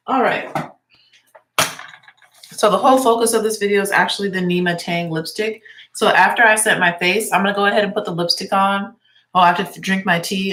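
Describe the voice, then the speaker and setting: A woman's voice: medium pitch, fairly bright tone. Congested woman chatting in an echoey room. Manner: chatty, rambling, lots of "like". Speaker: beauty vlogger and teacher. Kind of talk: casual get-ready-with-me chat.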